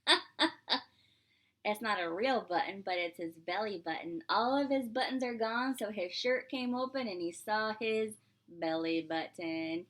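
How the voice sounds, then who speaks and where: A woman preschool teacher reading aloud to young children, expressive and playful, explaining simply and asking questions as she goes. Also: medium pitch. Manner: expressive, drawn-out, playful exclamations.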